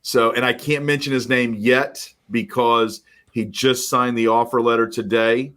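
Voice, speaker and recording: A middle-aged man co-hosting a mortgage podcast, talking in an energetic, emphatic storytelling way. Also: medium pitch, unhurried. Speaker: podcast co-host, mortgage professional. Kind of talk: casual chat.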